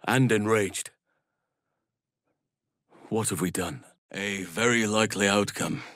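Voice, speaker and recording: An actor playing a solemn, gentle-hearted druid healer and warrior in a video game, speaking formally and with measured earnestness. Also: male voice, fairly low pitch, no echo, studio quality. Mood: solemn, thoughtful.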